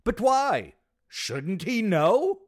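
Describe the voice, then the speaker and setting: Suave, excitable bachelor friend, played by an actor: high male voice, wildly animated, emphatic radio comedy style. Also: drawn-out words, dry recording, clean studio sound, full character voice. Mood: concerned. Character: suave, excitable bachelor man about town, a sitcom friend. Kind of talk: radio sitcom acting.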